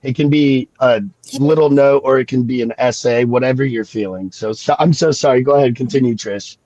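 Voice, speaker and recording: A man talking slowly and reflectively in a casual podcast chat, with many fillers and restarts, sniffling from a cold. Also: medium pitch, mellow, no echo, lo-fi sound. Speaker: guest comic creator.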